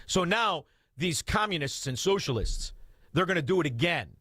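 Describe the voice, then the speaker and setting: A man, hosting talk radio in a combative, mocking rant with shouted outbursts. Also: on the high side, expressive, normal speed, dry recording, clean studio sound. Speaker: radio talk show host.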